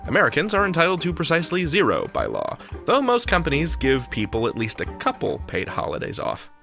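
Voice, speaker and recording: A man's voice: fairly high pitch, huge pitch swings, brisk, creaky, sharp tone, faint music behind. A man hosting a podcast in a chatty, comic style, playful and conversational. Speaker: podcast host.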